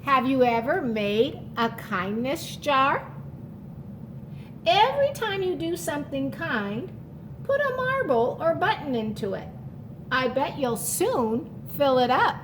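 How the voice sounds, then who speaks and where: A woman with a high voice reads a children's picture book over clearly audible background noise. She takes her time with each line. She adds simple, encouraging asides straight to the young listener. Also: very animated, slow pace. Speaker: storytime reader for children.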